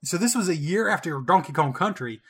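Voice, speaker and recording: Wry Southern man, bright voice. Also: a little high, expressive, brisk, slightly rough. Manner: joking, wry, laughs often. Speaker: podcast co-host. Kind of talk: casual chat.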